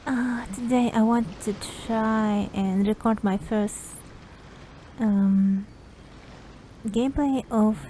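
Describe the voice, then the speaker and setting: A woman doing casual gameplay commentary in a mellow, slightly high voice. She talks very slowly and haltingly, with faint game music underneath. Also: a little hiss or hum, poor audio. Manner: halting, hesitant. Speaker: gaming content creator.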